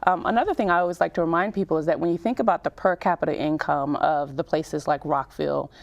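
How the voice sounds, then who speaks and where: A woman in her thirties from Cameroon, speaking as a mayor in an interview in a measured, explanatory way with emphatic lists. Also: low-pitched, vocal fry, lo-fi sound. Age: 30s. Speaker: town mayor, interview guest. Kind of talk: public-affairs interview.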